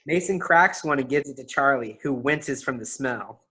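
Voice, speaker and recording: A man's voice: medium pitch, normal speed, pushed and bright. A man reading stage directions as scripted narration at a play reading.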